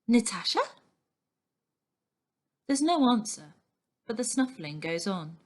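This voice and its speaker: Slow, expressive woman storyteller. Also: a little high. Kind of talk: expressive scripted narration of a children's novel.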